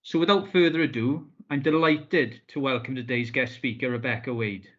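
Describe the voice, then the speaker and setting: A man's voice: medium pitch, moderate pace, a bit bright. Formal man on a muffled video call. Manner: formal, with frequent ums and uhs. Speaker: business support officer hosting a webinar. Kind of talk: scripted welcome on a video call.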